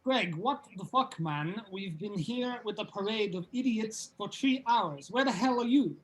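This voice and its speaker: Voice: mid-range voice, animated, a little slow, lightly acted, low-quality recording. A performer playing a foul-mouthed, jaded male rock band member at an informal script table read, blunt and sardonic. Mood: exasperated. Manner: blunt, sweary. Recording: clear background noise.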